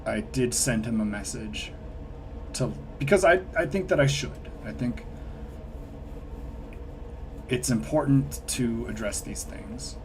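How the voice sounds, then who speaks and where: A man's voice: medium pitch, takes it slowly, pauses often, a little mellow, slight background noise, rough recording. A man chatting casually on a livestream, rambling and hesitant with frequent restarts. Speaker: streamer. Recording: music playing behind.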